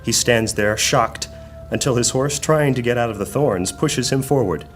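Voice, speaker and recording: A man narrating a scripted fantasy audio drama in a deliberate, descriptive storytelling manner. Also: medium pitch, soft background music.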